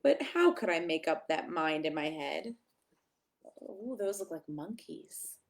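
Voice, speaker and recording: A woman reading a picture book aloud at children's storytime, expressive and sing-song, with emphatic pauses and little asides to the listeners. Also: low voice.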